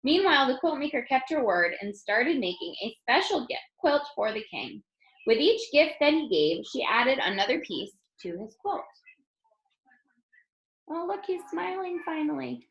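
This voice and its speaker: A woman reading a children's story aloud as an expressive narrator. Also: high-pitched, measured pace, lo-fi sound. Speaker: storybook reader. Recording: roomy, echoing room sound.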